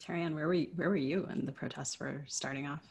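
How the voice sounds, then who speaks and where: A woman's voice: sits low, steady tone, a little fast, gravelly, darkish tone, breathy edge. A woman from the US East Coast speaking conversationally as a podcast co-host, explaining things in a discursive way. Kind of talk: conversational interview talk.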